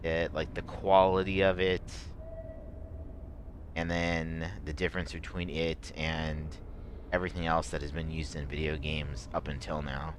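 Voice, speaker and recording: Guy streaming a game, deep voice, kinda rough, rambles and restarts a lot, faint game sounds behind. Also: flat delivery, noisy background. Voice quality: rough, strained voice. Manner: rambling, halting, many fillers and restarts. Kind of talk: casual gameplay commentary.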